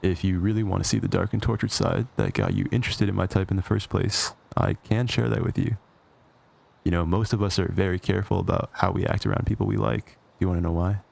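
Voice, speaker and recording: Casting: male actor for cocky villain with a soft spot, low and creaky, conversational, sarcastic, close ASMR roleplay. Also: quick pace, heavily acted. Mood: flirty, smug. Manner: teasing, sardonic, conversational. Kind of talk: intimate ASMR roleplay acting.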